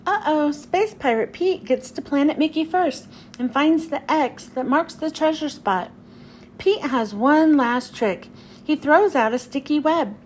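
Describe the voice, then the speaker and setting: A woman's voice: high-pitched, a little slow. A woman reading a children's storybook aloud as narrator, expressively, as if to young children. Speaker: children's book reader. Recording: faint background noise.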